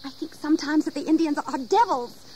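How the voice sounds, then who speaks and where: Actress as high-voiced, proud plantation mistress. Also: female voice, brisk, mellow, a little hiss or hum, lightly acted. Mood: fearful, indignant. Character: plantation mistress, genteel, proud, anxious wife. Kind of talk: dramatized audio history acting. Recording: 1980s audio drama, insect ambience.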